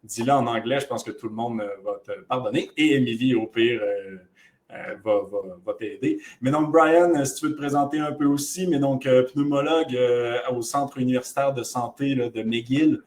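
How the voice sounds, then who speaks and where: A man hosts an online webinar, introducing the speaker and explaining how the session works. His pitch sits in the middle range, and his delivery is casual and loose, with frequent fillers. He sounds like he is on a computer mic over a video call. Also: fairly even intonation, moderate pace, darkish tone. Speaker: webinar moderator. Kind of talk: introducing speakers and giving housekeeping notes.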